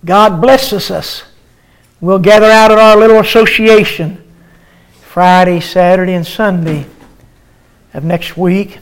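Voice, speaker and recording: An older pastor preaching a sermon in a chanted, sing-song style, breaking into drawn-out cries and calling out to his listeners by name, with many halting filler sounds between phrases. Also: high-pitched, fairly even intonation, rough recording.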